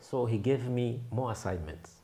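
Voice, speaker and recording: A man in his thirties from Kenya, a Swahili native speaker, recalling his past in an unhurried, thoughtful way, with frequent pauses. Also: medium pitch, mellow. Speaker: guest, film and creative artist. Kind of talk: reflective interview storytelling.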